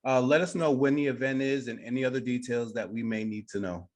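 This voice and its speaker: A man's voice: medium pitch, a little fast, darkish tone. A man hosting a conversational radio interview, speaking to his guest over a video call. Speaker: radio show host, interviewer.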